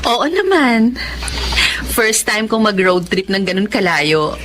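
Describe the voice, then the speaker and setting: A woman's voice: mid-range voice, very animated, slow. An actress playing a smitten, cheerful thirty-year-old single woman in a Filipino radio drama, eager and girlish.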